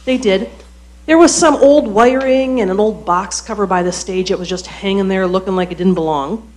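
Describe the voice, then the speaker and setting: A woman of middle pitch explains project details clearly and matter-of-factly. She speaks into a handheld microphone at a podium in a council chamber. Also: normal speed, a little mellow. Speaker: project staff reporting to council. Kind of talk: explanatory report.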